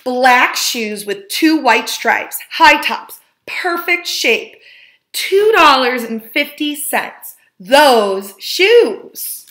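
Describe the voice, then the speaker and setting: A woman with a slightly high voice reads a children's storybook aloud. She leans on key words with deliberate, dramatic emphasis. The recording is roomy and clearly echoing. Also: big pitch swings, bright, forceful tone. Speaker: teacher reading a picture book aloud. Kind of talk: expressive read-aloud storytelling of a children's book. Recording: roomy, echoing indoor sound.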